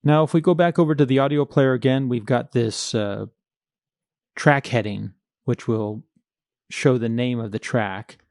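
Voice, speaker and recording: A man's voice: mid-range voice, measured pace, a little mellow, no echo. Man giving a dry-recorded coding walkthrough. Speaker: coding tutorial instructor. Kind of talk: screencast coding walkthrough, explaining step by step.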